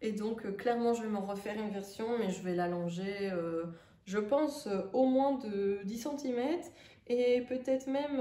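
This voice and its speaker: A woman with a stuffy nose from a cold, chatting casually as a sewing vlogger and pausing often with fillers. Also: fairly high pitch, mellow. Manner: chatty, hesitant with many fillers. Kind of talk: casual vlog chat. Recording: roomy, distant from the mic.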